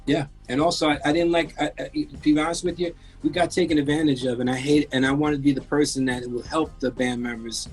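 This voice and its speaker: A man's voice: on the high side, steady tone, fast, hardly any pauses, slightly rough, soft background music. A man in his fifties, born in France, telling his career story as a guest in a casual interview, candid and rambling with many restarts. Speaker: guest, music engineer.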